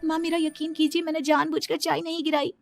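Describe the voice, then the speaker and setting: A woman plays a devoted young daughter and bride in a cartoon moral tale. The voice sits high and sounds earnest and pleading. Light music runs behind it. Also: level tone, fast talker, creaky. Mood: tearful, pleading. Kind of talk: dramatized cartoon moral story.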